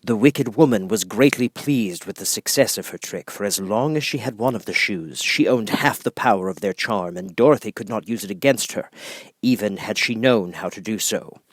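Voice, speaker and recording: A man narrates a children's storybook in a fairly low voice. He reads in a measured, expressive storytelling manner. The recording is very dry, with almost no room sound. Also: slightly rough, no room sound. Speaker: audiobook narrator.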